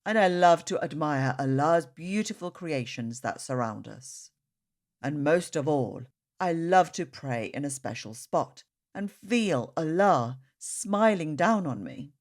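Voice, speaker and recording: A woman reading the words of tender, devout family members in a children's storybook, gently and earnestly. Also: low voice, expressive, takes it slowly, voice pushed down, heavily acted.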